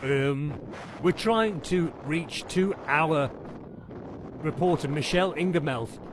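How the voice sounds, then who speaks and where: A man playing a TV news anchor covering a storm disaster, halting and stumbling over his words. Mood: grave, halting. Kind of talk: amateur mock breaking news report. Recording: noisy, windy background rumble.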